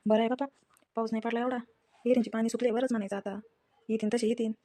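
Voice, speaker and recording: Woman as an anxious older villager, talking very fast. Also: a little high, flat delivery, dark tone, pitched below the performer's own voice, natural voice. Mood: resigned. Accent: rural Maharashtrian. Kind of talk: acted family story cartoon. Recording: clearly noisy background.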